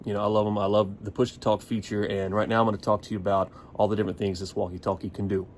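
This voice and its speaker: A man's voice: on the low side, flat delivery, very fast. An American man giving a casual, unscripted gear review in a folksy, explanatory manner. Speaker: product reviewer.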